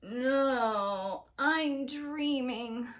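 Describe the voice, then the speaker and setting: A woman's voice: sits high, very slow, pitched above the performer's own voice. A woman voicing a whiny, reluctant fairy-tale boy who complains and talks back in a children's storybook. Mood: sleepy, upset. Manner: complaining, protesting, exclaiming. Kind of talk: children's storybook read-aloud.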